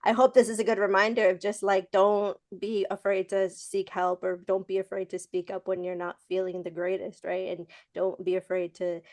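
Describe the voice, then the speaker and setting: A woman's voice: mid-range voice, little pitch movement, normal speed, hardly any pauses, darkish tone. A young woman co-hosting a podcast, talking in a casual, encouraging way with lots of "like" and "you know". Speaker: podcast co-host.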